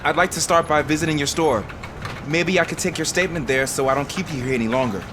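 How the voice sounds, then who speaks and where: Game role: male actor as helpful dispatch cop, slightly high voice, casual, earnest, noisy ambience. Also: fast, natural voice. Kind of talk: video game cutscene acting.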